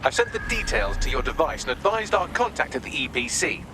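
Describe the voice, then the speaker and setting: An actor playing a glib, sardonic, articulate AI assistant giving briefings in a video game. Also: male voice, on the high side, animated, fairly quick. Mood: brisk, informative. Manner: wry, articulate. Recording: clear background noise.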